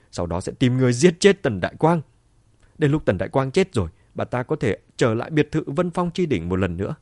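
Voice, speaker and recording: A man's voice: medium pitch, darkish tone, no room sound, studio quality, pitched above the performer's own voice, lightly acted. Man acting a furious, vengeful woman prisoner. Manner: angry, accusing, insulting. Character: vengeful, furious female prisoner, spitting accusations.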